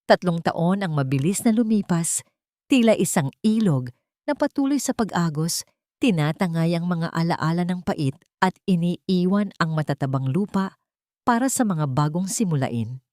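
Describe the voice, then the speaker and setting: A woman with a Filipino accent tells a dramatic story from a script. Her voice sits somewhat low for a woman. The sound is very dry, with no room echo around her narration. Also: lively intonation, moderate pace, a little mellow.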